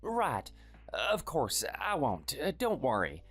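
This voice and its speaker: A performer voicing a meek, sickly man in his thirties who speaks softly and hesitantly, always apologizing. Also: medium pitch, wildly swooping pitch, slow, husky, faint music behind, heavily acted. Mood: pleading. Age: 30s. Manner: soft, hesitant, pleading. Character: meek sickly young husband, timid and apologetic.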